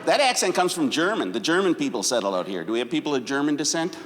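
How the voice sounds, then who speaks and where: A man from Wisconsin with a Wisconsin accent, performing a crude, animated stand-up comedy set on a club stage. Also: fairly high pitch, quick pace. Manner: animated, crude, jumps into exaggerated character voices. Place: comedy club stage before a laughing crowd. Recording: live hall with loud crowd noise.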